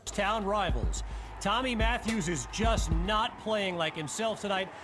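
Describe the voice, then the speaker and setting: A man's voice: high voice, animated, a little slow, over music. A man playing a dramatic football broadcast commentator in a video game. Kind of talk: sports commentary.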